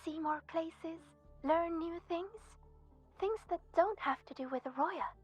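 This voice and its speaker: A woman's voice: very high-pitched, takes it slowly. An actress voicing a friendly, eager young female student in a video game, chatty, playful and sincere. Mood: hopeful, sincere. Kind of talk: scripted video game voice acting. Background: background music.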